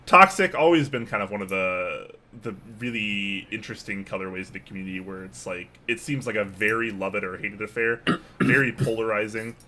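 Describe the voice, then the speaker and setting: Low guy with a bright edge, chatty and loose, little hiss in the room. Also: brisk. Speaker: podcast co-host. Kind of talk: casual chat about keyboards.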